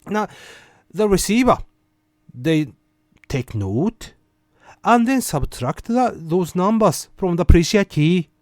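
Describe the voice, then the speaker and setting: Animated higher-pitched man explaining, dry sound. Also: slow pace, long pauses, no echo. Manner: conversational, asks the listener rhetorical questions. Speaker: host of a rant and explainer series. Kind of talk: casual explainer narration with quoted passages.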